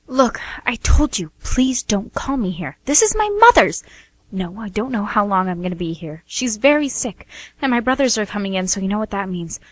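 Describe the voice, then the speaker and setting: A woman's voice: medium pitch, big pitch swings, normal speed, no echo. A woman playing an anxious, chatty sister who speaks in a hesitant, earnest ramble in a radio play. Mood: worried, secretive. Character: anxious, chatty sister defending her wayward brothers. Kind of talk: amateur radio play dialogue.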